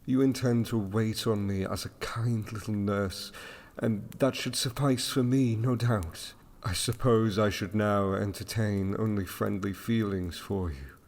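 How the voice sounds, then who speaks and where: Brooding blinded gentleman of gothic radio romance, played by a male actor: low-pitched, proud, jealous, in a long reverberant space. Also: unhurried. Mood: pleading, wounded. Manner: formal, old-fashioned, intense speech. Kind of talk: audio drama acting of gothic romance.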